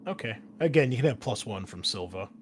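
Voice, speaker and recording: A man's voice: medium pitch, lively intonation, brisk, slight background noise. A man explaining tabletop game rules casually as game master, thinking aloud as he goes. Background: background music.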